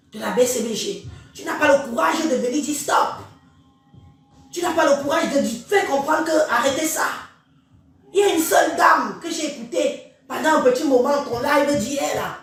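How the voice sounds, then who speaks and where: A woman from Cameroon with a head cold, giving a scornful, preachy live-stream rant that addresses her listeners directly. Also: sits high. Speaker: social media live streamer. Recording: very echoey room, far from mic.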